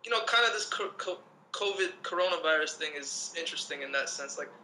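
Man, 30s, interview guest; bright, edgy tone, a little high; relaxed and rambling, faint background hiss. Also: brisk, poor audio. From Lebanon. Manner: laid-back, rambling, many fillers like "you know what I'm saying". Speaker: guest, breakdancer. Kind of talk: casual interview chat.

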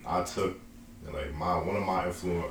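A man's voice: low voice, moderate pace, roomy sound, slight background noise. A man from Long Island, a young rapper talking about his music in a loose, rambling, slang-heavy way. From Long Island, New York. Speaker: indie rapper guest. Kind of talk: casual interview.

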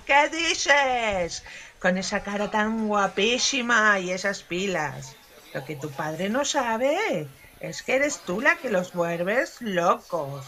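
A woman acts out a knowing confidante in a comic radio soap. Her voice is bright and swoops widely, yet she draws her words out slowly as she teases. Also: fairly high pitch, exaggerated voice. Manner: knowing, teasing. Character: teasing, worldly female confidante of a lovestruck girl. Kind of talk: humorous radio soap acting.

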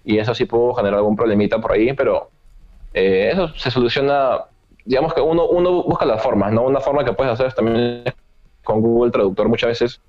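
A man in a casual chat about travel, speaking in a measured way and often tagging his sentences with a questioning "right?". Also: mid-range voice, darkish tone, soft background music. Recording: dull lo-fi sound.

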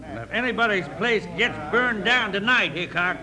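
A man's voice: high voice, animated, moderate pace, over a noisy crowd. A man playing an angry, suspicious frontier rancher in a radio western, challenging and threatening in manner. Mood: hostile, demanding. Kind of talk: 1950s radio western acting.

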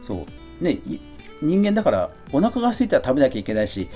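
A man's voice: mid-range voice, wildly swooping pitch, quick pace, warm tone, soft background music. A middle-aged man hosting a podcast, talking casually and conversationally on his own with a self-deprecating touch. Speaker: podcast host. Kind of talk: casual solo talk answering a listener letter.

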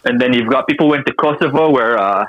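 A man's voice: mid-range voice, fast, dry recording, muffled recording. Podcast guest: young man from Syria, dry wit, very close dry sound. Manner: dry, joking storyteller. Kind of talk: casual chat.

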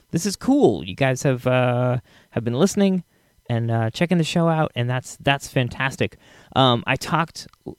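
A man's voice: on the high side, no echo, studio quality. A man hosting a podcast, talking casually in a rambling, enthusiastic way. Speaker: podcast host.

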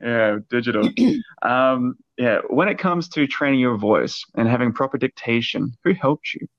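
A man's voice: medium pitch, animated, measured pace, dry recording. A man with a slight Australian accent asking curious, loosely phrased interview questions. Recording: dull dry lo-fi audio.

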